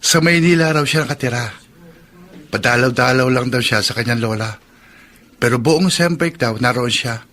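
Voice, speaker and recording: A man's voice: medium pitch, normal speed, fairly bright tone, natural voice. A man playing a sincere, wistful young man in a Filipino radio drama. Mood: shy, hopeful.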